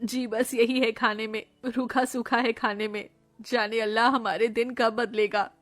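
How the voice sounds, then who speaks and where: A woman's voice: fairly high pitch, little pitch movement, measured pace, soft background music, lightly acted. A woman voicing an emotional, devoted woman in a melodramatic cartoon family tale, with an earnest, plaintive delivery.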